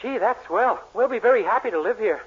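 An innocent young clerk in a 1940s radio sitcom, earnest and guileless. He speaks quickly in a high, boyish voice. The recording is muffled, with heavy crackle and noise. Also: animated. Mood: naive, earnest. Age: young adult. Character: naive, earnest young drugstore clerk in love.

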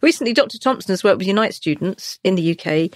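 A woman's voice: medium pitch, animated, quick pace, a little mellow, dry recording, studio quality. A woman in her fifties co-hosting a casual podcast chat, her voice a bit nasal and snuffly from a cold, chatty and jokey. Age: 50s. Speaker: podcast co-host. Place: home living room.

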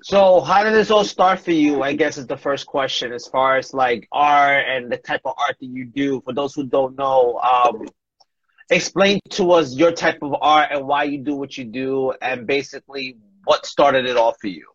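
Slightly muffled, lo-fi audio carries a man co-hosting an interview. His voice sits at a medium pitch, and he asks casual questions in halting phrases that circle back on themselves. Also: fairly bright tone, dry recording. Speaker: interview co-host.

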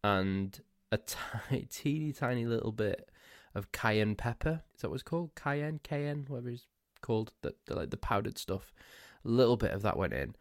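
A man's voice: medium pitch, animated, normal speed, no echo, studio quality. A man hosting a solo podcast, stuffy and losing his voice, rambling and self-mocking with frequent laughs. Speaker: podcast host.

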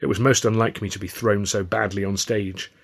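Male audiobook narrator, low and creaky, for scripted first-person storytelling. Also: fairly even intonation, fairly quick. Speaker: author narrating his own novel.

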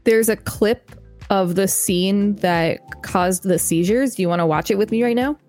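A woman's voice: medium pitch, normal speed, darkish tone, soft background music. A young woman co-hosting a podcast, reading aloud with casual, chatty, upbeat commentary. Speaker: podcast co-host.

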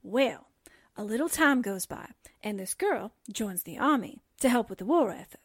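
A woman acts a gossipy, folksy young office assistant in a comic radio play. Her high voice swoops up and down as she slowly spins out her dramatic tales, recorded very dry. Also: expressive, slightly rough, no echo. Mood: eager storytelling. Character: chatty, dramatic young female newspaper assistant. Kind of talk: radio drama comedy acting.

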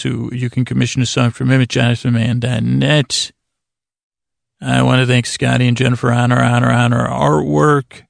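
A man with a heavily creaky, gravelly voice hosts a bedtime podcast. He meanders through a monologue, hesitating, doubling back and gently putting himself down. The sound is very dry and close to the microphone. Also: medium pitch, no echo. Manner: meandering, hesitant, self-deprecating, trailing off into tangents. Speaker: podcast host.

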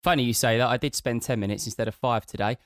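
A man co-hosting a podcast from home, chatting casually in an animated, rambling way while sounding a little congested. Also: mid-range voice, fast, dry recording, studio quality. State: congested nose from illness. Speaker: podcast co-host. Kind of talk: casual chat.

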